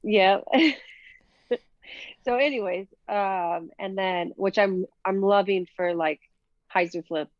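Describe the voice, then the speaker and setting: A woman's voice: mid-range voice, slow, long pauses, no echo, lo-fi sound. A woman from Minnesota with a Minnesota accent, chatting casually in an interview with many fillers and easy laughs. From Minnesota, USA. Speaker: professional disc golfer, guest.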